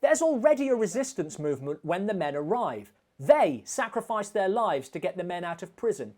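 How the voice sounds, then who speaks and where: A woman's voice: fairly low pitch, sing-song, normal speed, darkish tone, faint music behind. A woman narrating a scripted film review in a dry, sarcastic manner.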